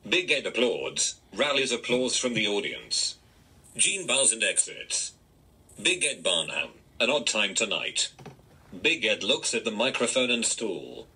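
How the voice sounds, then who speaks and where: Very bright, rough robotic male narrator. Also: on the low side, very animated, unhurried. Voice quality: robotic synthetic text-to-speech. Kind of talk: scripted narration, reading stage directions.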